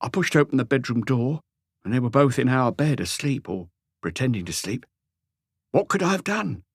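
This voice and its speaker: A man voicing an anguished, lonely, meek husband in an audiobook, pleading and rambling haltingly through a confession. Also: mid-range voice, animated, husky, dry recording, clean studio sound. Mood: wounded, hopeless. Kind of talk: audiobook character dialogue.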